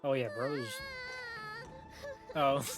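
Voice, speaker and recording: A man's voice: mid-range voice, slow. A man giving casual, slangy and sweary commentary as he streams a game story. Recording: game music behind the voice. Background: video game music.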